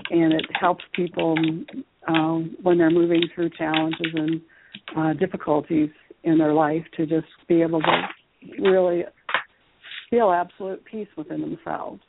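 A woman speaking as a guest teacher and author in a radio interview, explaining things in a measured way. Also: low-pitched, little pitch movement, vocal fry, background noise. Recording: muffled phone-like radio line.

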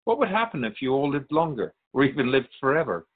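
A man playing a wise otherworldly keeper of souls in a radio drama, speaking in a measured, philosophical, instructive way. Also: medium pitch, sing-song, narrow, tinny audio. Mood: gently probing. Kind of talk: radio drama dialogue in interview form.

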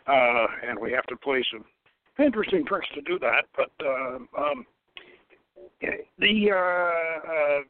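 A man hosting a talk radio show in a measured, sometimes halting way, introducing and reading from his notes. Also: a little high, animated. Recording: tinny phone-like line, dry.

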